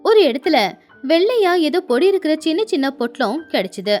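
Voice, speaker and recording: A woman tells a scripted moral tale in a high voice with very lively swings of pitch. She works as a storyteller, and soft music plays along behind her. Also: brisk.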